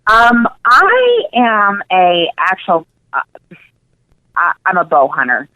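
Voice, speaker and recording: A woman's voice: medium pitch, wildly swooping pitch, slow pace, no room sound. An earnest woman guest chats casually in a radio interview over a dull, lo-fi phone connection. She stresses her points by repeating words. The sound is dry, with no room around her. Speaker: interview guest, nutrition coach.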